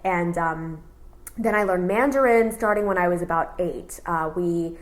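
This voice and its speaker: Lower-voiced woman in her thirties, rambling. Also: a little slow. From Houston, Texas. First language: Cantonese. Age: 30s. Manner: relaxed, rambling, many "you know" fillers. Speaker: interviewee. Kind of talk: oral history interview.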